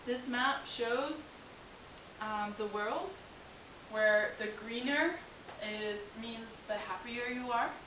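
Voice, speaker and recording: A woman's voice: a little high, slow. A young adult woman from Denmark, a native Danish speaker, giving a prepared informative presentation to an audience. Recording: distant mic, roomy echo, muffled, hissy noise.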